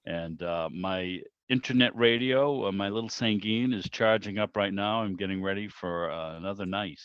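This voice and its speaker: Man, American accent, low pitch, informal meeting host, meandering chat, muffled call audio. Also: normal speed, a bit bright, clear, resonant tone, dry recording. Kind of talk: casual spoken welcome and interview. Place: indoors on a video call.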